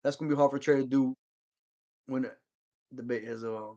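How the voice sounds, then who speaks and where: A man's voice: medium pitch, steady tone, fairly quick, dark tone, dull audio. A man hosting a live sports stream, chatting casually and answering viewer comments in a rambling, slangy way. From Georgia. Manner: laid-back slang, rambling restarts.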